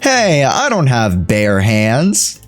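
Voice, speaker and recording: A man giving live commentary on a game as a streamer, jokey, with repeated asides and drawn-out reactions. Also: medium pitch, wildly swooping pitch, music in the background.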